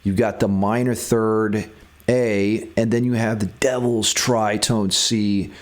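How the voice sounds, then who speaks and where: A man's voice: lowish voice, steady tone, slow pace. A man giving a casual music theory lesson as a guitar teacher.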